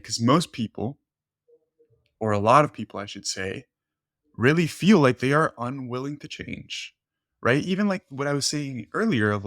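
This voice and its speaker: A man's voice: mid-range voice, fairly bright tone, no room sound, studio quality. A man whose first language is Spanish, talking casually as a podcast host and often checking in with "right?". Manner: fast, filler-heavy, says "right?" often. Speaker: podcast host, nutrition coach.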